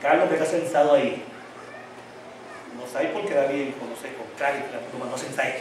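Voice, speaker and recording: A man's voice: medium pitch, a little fast, noisy background. A male pastor preaching a sermon, often asking the congregation questions for them to answer, with a slight tongue-tie that tangles his words. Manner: call-and-response questions to the congregation. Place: church hall. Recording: very echoey hall, mic at a distance.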